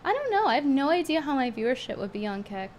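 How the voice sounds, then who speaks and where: A woman's voice: high-pitched, big pitch swings, quick pace, a little mellow, slight background noise. Blunt woman streamer losing her voice. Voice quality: strained, hard to get sound out. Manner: blunt, scornful and chatty, reading tips aloud. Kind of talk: casual livestream chat.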